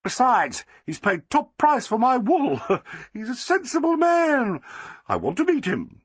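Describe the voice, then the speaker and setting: A male reader voicing a shrill, gushing society wife. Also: huge pitch swings, a little slow, husky, no echo, heavily acted.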